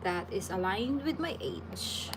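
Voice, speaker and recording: Slow-talking woman, noisy background. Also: medium pitch. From Philippines. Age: 30s. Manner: relaxed, chatty, with frequent restarts. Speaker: livestream host. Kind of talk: casual livestream Q&A, reading viewer questions aloud.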